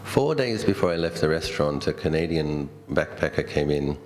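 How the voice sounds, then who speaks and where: A middle-aged Australian man, a Buddhist monk, giving a conversational, reflective dhamma talk built on personal stories, with thoughtful pauses. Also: a bass voice, expressive, a little mellow, slight background noise.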